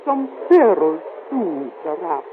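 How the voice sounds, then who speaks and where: A woman's voice: on the low side, sing-song. An actress playing a haughty, alluring, wealthy foreign lady in an old-time radio drama, speaking accented English in an imperious, coy way. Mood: imperious, enticing. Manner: imperious, coy, deliberate phrasing. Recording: tinny, hissy old radio recording.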